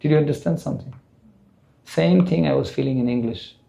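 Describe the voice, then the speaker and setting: An older man with a Russian accent lectures a temple audience in earnest, building his stories with rising emphasis. His voice is rough and gravelly, with a dark, mellow color and a pitch a little above the usual for a man. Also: fairly quick. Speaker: spiritual teacher.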